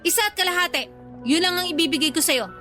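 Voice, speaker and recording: A woman's voice: very high-pitched, fast talker, sharp tone, soft background music. A woman playing a scolding, worried mother in a Tagalog radio drama, with a nagging, exasperated way of talking. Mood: exasperated, relenting. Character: scolding, worried mother of a hard-drinking young son.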